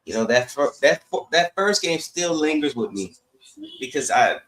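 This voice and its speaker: A man hosting a sports podcast, chatting casually in a halting way with repeated words. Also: on the high side, animated, fairly bright tone, low-quality recording.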